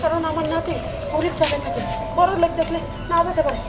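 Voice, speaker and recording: A woman playing a bossy, excitable rich grandmother with a Bangladeshi accent, scolding and commanding in a web drama. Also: very high voice, fast, heavy background noise. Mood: bossy, impatient. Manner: scolding, commanding, mixes in English phrases. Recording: music over outdoor dialogue.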